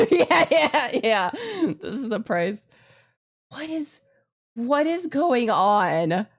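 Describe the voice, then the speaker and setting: Animated high-voiced woman streamer, slow-paced. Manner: animated, exclamatory, repeats phrases. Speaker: streamer playing a visual novel. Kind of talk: live gameplay commentary, casual chat. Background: game music.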